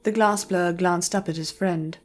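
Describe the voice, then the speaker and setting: Low-pitched woman narrating a story. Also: steady tone, moderate pace, faint music behind.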